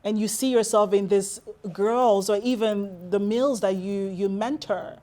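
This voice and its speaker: Woman interview host, chatty and gushing, normal mid pitch. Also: fairly even intonation, measured pace, warm tone. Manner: effusive, admiring. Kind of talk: conversational interview hosting.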